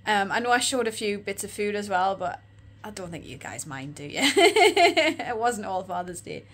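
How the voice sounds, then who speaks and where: A woman in her forties with an Australian accent, chatting and rambling in a casual vlog, her voice croaky and tired. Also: mid-range voice, very animated, normal speed, fairly bright tone. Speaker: vlogger. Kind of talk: casual shopping vlog chat. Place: room with neon lights, indoors.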